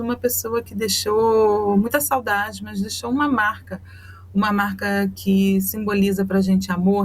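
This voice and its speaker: A woman from Rio de Janeiro with a Carioca accent, a journalist guest speaking reflectively and tenderly, with frequent pauses. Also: medium pitch, a little hiss or hum. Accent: Carioca Brazilian Portuguese. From Rio de Janeiro, Brazil. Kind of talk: personal interview.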